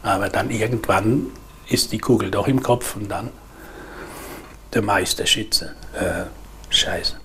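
A man in his sixties from the Palatinate, giving reflective interview testimony in a halting, earnest way. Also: on the low side, bright, forceful tone, a little hiss or hum. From Palatinate, Germany. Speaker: interviewee, former gang leader.

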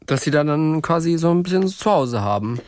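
A man's voice: fairly high pitch, animated, brisk, darkish tone, dry recording, clean studio sound. A man talking informally and conversationally as a podcast co-host. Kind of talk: casual chat between co-hosts.

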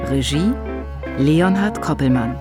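A woman's voice: low voice, expressive, takes it slowly, over music. A woman reading scripted radio drama credits as an announcer.

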